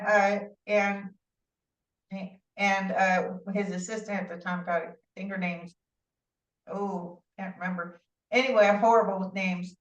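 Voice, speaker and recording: A woman guest telling her story in a rambling, animated way, with many asides and restarts, heard through a remote call. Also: medium pitch. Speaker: interview guest. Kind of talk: casual conversational storytelling. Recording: distant, roomy, dull-sounding audio.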